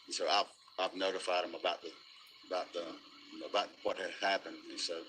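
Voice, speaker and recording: A middle-aged American man giving a casual eyewitness interview outdoors, speaking haltingly and repeating words. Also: low-pitched, flat delivery, pushed and bright, poor audio. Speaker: local resident and business owner being interviewed. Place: outdoors at a storm damage site. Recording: outdoor interview with faint noise.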